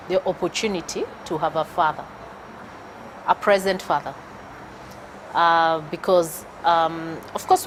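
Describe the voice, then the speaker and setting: A middle-aged woman with a Kenyan accent, a guest speaking in a thoughtful, measured way with frequent hesitations in a studio interview. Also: fairly low pitch, a little hiss or hum, poor audio. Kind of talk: casual interview chat.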